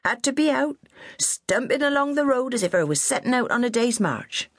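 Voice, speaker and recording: A bossy old countrywoman with a scolds and fusses in a raised, husky voice, as a woman narrator puts her on. Her speech is blunt and grim, pitched well above the reader's own. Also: very animated, normal speed, dry recording, studio quality. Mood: scolding. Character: stubborn rustic old countrywoman, bossy and fussing.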